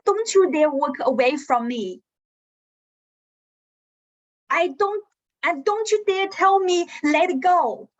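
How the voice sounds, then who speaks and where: Actress as wounded, insistent young lover, high voice, unhurried delivery, monologue style. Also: female voice, lively intonation, heavily acted. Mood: hurt, pleading. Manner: emphatic, pleading. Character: hurt, insistent young lover refusing to let go. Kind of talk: dramatic stage monologue. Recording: dull lo-fi sound.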